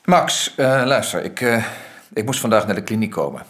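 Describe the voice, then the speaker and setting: Flustered grieving man, radio play; low voice, hesitant restarts. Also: lively intonation, moderate pace, a bit bright. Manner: flustered, stammering. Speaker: Onno, widower-to-be. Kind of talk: radio drama acting.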